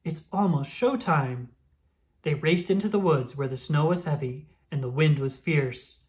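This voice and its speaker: A woman reading a children's picture book aloud in an expressive storytelling manner. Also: sits low, normal speed. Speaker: storybook reader.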